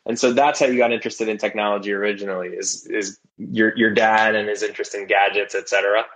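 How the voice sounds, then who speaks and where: A man hosting an interview podcast, enthusiastic and a bit halting with fillers. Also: on the low side, fairly even intonation, fairly bright tone, poor audio. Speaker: podcast host. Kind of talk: casual interview conversation.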